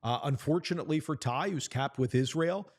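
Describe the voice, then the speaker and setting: A man's voice: a little high, a little fast, breathy edge. A man who works as a play-by-play announcer, talking earnestly and supportively about players in a sports conversation.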